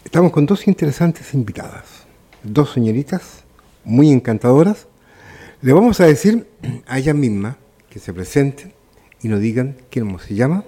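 A Chilean man presents a local radio interview show in a dark, mellow voice. His pitch sits in the middle of the male range, and he addresses people courteously and formally. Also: very animated, normal speed, pauses often, slightly rough. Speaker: radio host.

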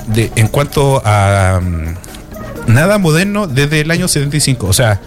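A man's voice: mid-range voice, lively intonation, normal speed, soft background music. A man in his forties with a Chilean accent, hosting a casual radio show and chatting between listener messages. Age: 40s. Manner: chatty, reads listener messages aloud with friendly asides. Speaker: radio host.

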